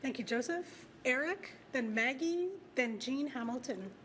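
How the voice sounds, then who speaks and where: A woman's voice: high voice, lively intonation, a little slow, fairly bright tone. A woman chairing a public meeting, calling up speakers and handling procedure.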